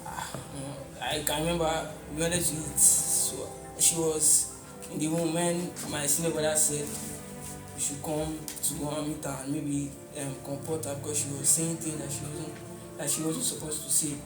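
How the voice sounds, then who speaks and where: Flat-toned young Nigerian man. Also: fairly high pitch, normal speed. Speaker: interviewee. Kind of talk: reflective interview answers. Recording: roomy echo with music behind.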